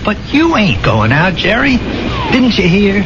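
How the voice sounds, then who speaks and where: An actor playing a young soldier, a loyal army buddy with a folksy, familiar way of talking, in a 1970s radio drama. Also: male voice, sits high, huge pitch swings, unhurried, heavily acted. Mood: breezy, comradely. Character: dead army buddy, a young soldier returned as calm ghost. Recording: dull lo-fi old radio broadcast, loud music.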